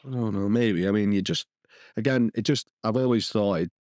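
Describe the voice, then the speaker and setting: A man's voice: lowish voice, a little fast, darkish tone, dry recording. A man co-hosting a podcast, rambling and restarting sentences as he lists the dishes he cooked. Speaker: podcast co-host. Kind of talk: casual chat.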